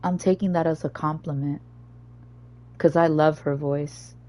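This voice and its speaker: Low, slightly hoarse young woman. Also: steady tone, unhurried, dark tone. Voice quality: naturally low, deep voice. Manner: rambling, chatty, easily sidetracked. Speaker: streamer. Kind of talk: casual gaming stream chat.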